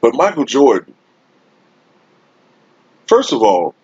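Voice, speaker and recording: A man with a deep, husky voice talks slowly and emphatically, like an informal sports commentator addressing his online viewers. He stretches out his points in a casual, opinionated rant. The desktop USB microphone recording is close and dry but somewhat dull. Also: sing-song, a little mellow, no room sound. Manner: emphatic, informal, drawn-out delivery with frequent rhetorical tags. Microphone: Blue Snowball-type desktop USB microphone.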